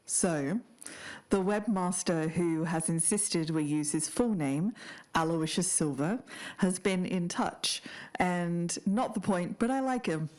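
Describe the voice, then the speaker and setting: A woman's voice: lowish voice, slow, a little mellow. An actress playing a frank, inquisitive woman who co-hosts an investigative podcast in an audio drama. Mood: dry, amused. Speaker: podcast host.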